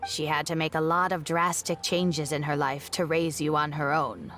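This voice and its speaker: An actress playing an elderly woman scholar in a video game, composed and reminiscing in a measured, wry manner. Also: low voice, fairly even intonation, a bit bright, soft background music. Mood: fond, reflective. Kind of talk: scripted video game dialogue.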